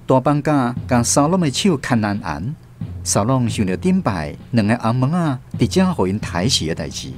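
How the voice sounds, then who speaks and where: A man's voice: mid-range voice, very animated, moderate pace. A man with a Taiwanese accent narrating a radio drama in a dramatic storytelling style. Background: music.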